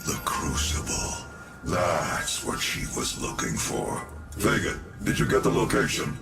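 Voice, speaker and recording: An actor playing a calm, authoritative scientist in a robot body in a video game, speaking in a measured, formal, cold way. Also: male voice, sits low, gravelly, exaggerated voice. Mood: measured, explanatory. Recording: loud music behind the voice.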